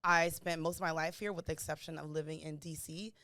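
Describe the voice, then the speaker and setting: A woman of about 30 from Miami, a podcast guest talking about her life in a chatty, animated way and laughing often. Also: low-pitched, quick pace, no room sound, studio quality. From Miami, USA. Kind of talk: casual interview chat.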